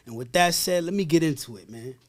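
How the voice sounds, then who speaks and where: A man's voice: a little high, animated, brisk. A man with a Dominican accent hosting a podcast, hyped and streetwise, reading a scripted intro and chatting casually. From born in the US, Dominican family. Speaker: podcast host. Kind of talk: scripted intro narration and casual interview chat.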